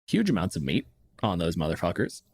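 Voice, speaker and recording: Dry, blunt man with a lowish voice. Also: huge pitch swings, fairly quick, a little mellow, breathy edge, no room sound. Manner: dry, blunt, swears casually. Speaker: podcast host answering listener questions. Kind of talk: casual chat, riffing on tabletop game monsters.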